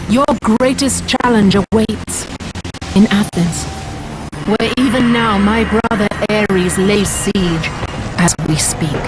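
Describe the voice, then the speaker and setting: An actress playing a regal, solemn goddess in a video game, stately and commanding. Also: female voice, medium pitch, natural voice, poor audio. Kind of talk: dramatic video game acting. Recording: music behind.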